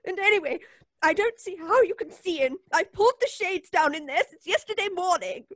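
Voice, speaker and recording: A woman's voice: extremely high pitch, lively intonation, fairly quick, fairly bright tone, no echo. A woman playing a prickly, defensive, prim neighbor in an old-time radio suspense drama, with theatrical delivery. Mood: alarmed, indignant.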